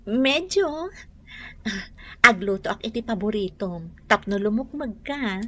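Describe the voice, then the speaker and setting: Woman playing a bright, high Ilocano sweetheart. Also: big pitch swings, slow, heavily acted. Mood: coy, affectionate. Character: sweet, doting, domestic young sweetheart. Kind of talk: Ilocano radio comedy drama acting.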